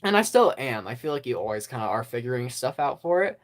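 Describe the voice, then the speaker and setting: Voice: medium pitch, sing-song, fast talker. A YouTube host in a casual, rambling channel update, hesitant and self-deprecating, with many ums and small laughs. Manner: self-deprecating about own past work. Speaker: stop-motion channel host.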